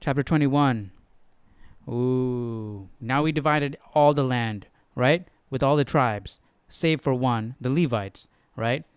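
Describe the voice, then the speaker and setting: A man's voice: mid-range voice, takes it slowly, clear, resonant tone, dry recording, clean studio sound. A man hosting a Bible study, explaining casually and tentatively as he thinks aloud, often checking himself. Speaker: host of a Bible study stream.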